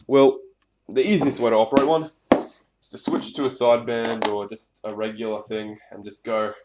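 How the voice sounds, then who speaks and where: Echoey, low-voiced man rambling about gear. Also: steady tone, normal speed. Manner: rambling, off-the-cuff, sweary. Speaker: hobbyist presenter. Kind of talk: casual hands-on gear demonstration. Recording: echoey room, clear background noise, clicks.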